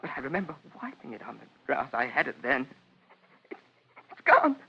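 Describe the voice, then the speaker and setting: An actor playing an anxious, distraught son who speaks to his father in halting, repeated phrases in a vintage radio drama. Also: medium pitch, huge pitch swings, gravelly, dull audio, slight background noise. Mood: panicked, distraught. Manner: halting, repetitive, nervous stammer. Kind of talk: 1950s radio drama acting.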